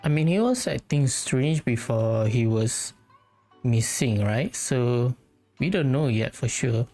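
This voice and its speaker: A woman's voice: extremely low pitch, expressive, slow, dark tone, faint music behind. A woman gamer making brief, informal reactions to game dialogue during a playthrough.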